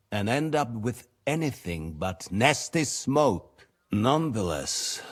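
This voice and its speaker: A man playing a shrewd, weary middle-aged lord in a video game, speaking in a formal, measured and commanding manner. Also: lowish voice, breathy edge, full character voice. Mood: wry, guarded. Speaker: medieval nobleman. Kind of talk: video game cutscene acting.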